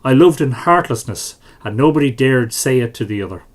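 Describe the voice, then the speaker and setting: A man's voice: medium pitch, animated, fairly quick, close to an everyday voice. A man with an Irish accent reading the words of an earnest, lovesick young man from a storybook legend, in formal speech. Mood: sorrowful confession.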